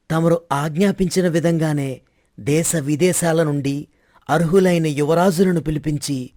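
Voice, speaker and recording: Man playing a deferential, higher-voiced royal minister. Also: dark tone. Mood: obedient. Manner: respectful, formal. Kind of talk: scripted Telugu moral-story dramatization.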